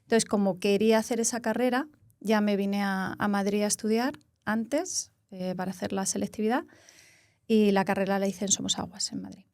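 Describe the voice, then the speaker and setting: A woman's voice: mid-range voice, normal speed, a little mellow, no room sound. Reflective Spanish woman, dry close sound. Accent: Spanish from Castilla-La Mancha. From Spain. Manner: reflective, explains with examples and rephrases. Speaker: psychologist guest. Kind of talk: conversational interview.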